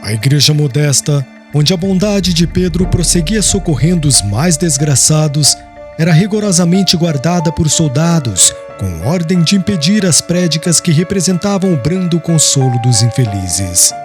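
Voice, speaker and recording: A man with a Brazilian accent giving a solemn, measured audiobook narration of literary prose, with phrases falling at the end. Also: fairly high pitch, lively intonation. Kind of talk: scripted literary narration of a religious historical novel. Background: background music.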